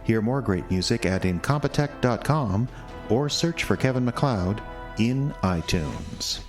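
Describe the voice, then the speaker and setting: A man reading scripted credits as an announcer for an audio drama, formal and deliberate. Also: on the low side.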